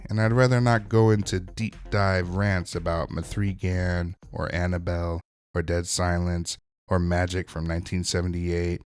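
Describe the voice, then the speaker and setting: A man's voice: low-pitched, darkish tone, clean tone. A man hosting a scripted recap and review of a TV episode, narrating in a wry, opinionated way. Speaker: host of a TV episode recap show.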